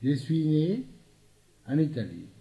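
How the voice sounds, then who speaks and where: A man chants fragments of sound poetry, repeating and bending the same words over and over. His voice is very dark and mellow, with a lively, wide-ranging pitch. He often sinks into low murmurs. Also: takes it slowly, gravelly, rough recording.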